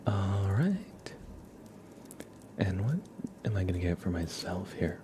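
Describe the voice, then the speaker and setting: A man hosting an ASMR livestream, chatting casually in a soft, hushed voice that often slips into a whisper. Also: deep voice, expressive, takes it slowly, noisy background. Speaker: livestream host. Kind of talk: ASMR, casual chat with viewers.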